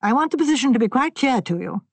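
A woman reads a formal, commanding police chief laying down a stern warning. The tone is measured and a touch higher than a man's would be. The sound is close and dry. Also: animated, gravelly, dry recording. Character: formal, authoritative older male chief constable.

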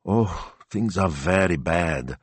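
A man's voice: low-pitched, animated, slow, slightly rough, no echo, heavily acted. A man voicing a fretful male dog owner who pleads anxiously for help. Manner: anxious, pleading, hand-wringing.

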